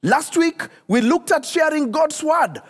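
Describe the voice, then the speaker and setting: Pastor, middle-aged man, animated direct-address sermon, reverberant hall sound. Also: extremely high pitch, unhurried. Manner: animated, addresses viewers directly, repeats key questions. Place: church stage studio, indoors.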